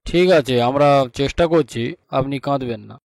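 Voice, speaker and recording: A man voicing a cartoon detective officer who questions and gives orders with calm authority. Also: medium pitch, a little fast, creaky, dry recording, close to an everyday voice. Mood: calm, probing. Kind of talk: cartoon voice acting in Bengali.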